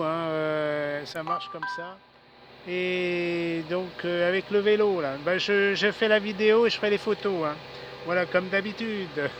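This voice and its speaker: A high-pitched male voice in a casual ham radio exchange. He is chatty and repeats himself often, recorded outdoors with faint noise around him. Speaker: amateur radio operator. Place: outdoors in a city park.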